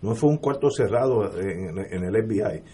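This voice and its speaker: A middle-aged Puerto Rican man on a political radio panel, speaking emphatically and building to forceful points. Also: on the low side, animated, moderate pace, husky. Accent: Puerto Rican Spanish.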